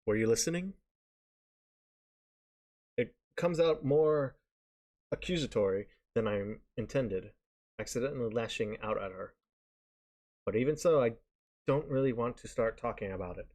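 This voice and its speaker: A man's voice: mid-range voice, lively intonation, moderate pace, pauses often, a little mellow. Man reading aloud, stumbling over words. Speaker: streamer reading a visual novel aloud. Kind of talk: live read-aloud of narration. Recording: guitar music behind the voice. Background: game background music with guitar.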